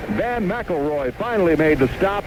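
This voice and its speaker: Male American football announcer, high register, clipped running commentary over loud noise on an old radio broadcast. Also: expressive, moderate pace. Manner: clipped, descriptive phrases in running narration. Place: broadcast booth at an outdoor stadium. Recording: noisy 1980s stadium radio broadcast.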